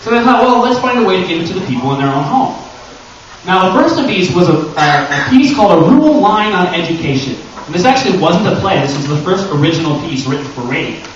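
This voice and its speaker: A man presents informally to a room in a slightly high voice, joking and posing rhetorical questions with a theatrical flair. He is heard from far off in a very echoey space, somewhat muffled. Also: animated, fast, a little hiss or hum. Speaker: convention panel presenter. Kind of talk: informal live presentation to an audience. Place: large echoey indoor panel room.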